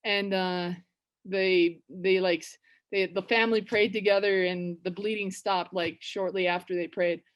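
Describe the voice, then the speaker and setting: A woman's voice: mid-range voice, fairly even intonation. Chatty American woman teaching a story. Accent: American West Coast. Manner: casual, chatty, lots of fillers and restarts; reads book passages slowly and clearly. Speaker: teacher reading a children's book. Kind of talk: storytelling lesson for English learners.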